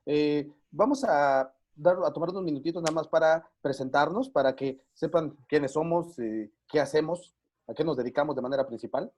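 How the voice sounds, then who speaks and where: A man's voice: a little high, normal speed, a little mellow. A man hosting an online group session as life coach, chatting casually with frequent fillers over a video call.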